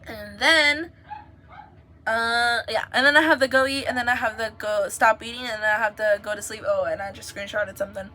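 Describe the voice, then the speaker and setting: A woman's voice: fairly high pitch, steady tone, moderate pace, bright, forceful tone. A young woman from the United States, chatting casually and giggling as she rambles to viewers on a livestream.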